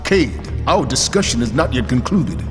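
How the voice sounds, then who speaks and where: A stern, grave commander acted by a man in a game cutscene. His mid-pitched voice is formal and authoritative, ringing with long reverb over clear music. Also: expressive, moderate pace, close to an everyday voice. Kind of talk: video game voice acting.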